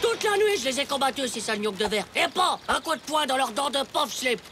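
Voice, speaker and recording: An actor voicing an excitable, dramatic ten-year-old schoolboy in a French cartoon, whiny and full of exclamations. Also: high-pitched, fast, soft background music. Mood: boastful.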